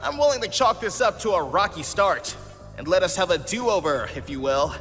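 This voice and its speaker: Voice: medium pitch, big pitch swings, normal speed, a bit bright, long reverb tail, soft background music. A performer voicing an earnest, upbeat young cartoon hero creature with an emphatic manner. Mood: conciliatory. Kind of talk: cartoon comic dub acting.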